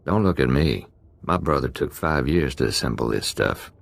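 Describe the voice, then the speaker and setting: An actor playing a rough, skeptical, streetwise prison-gang convict in a video game, with slangy, drawling tough talk and a gravelly voice. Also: male voice, animated, moderate pace, no room sound, exaggerated voice. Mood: gruff, skeptical. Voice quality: deep, gravelly.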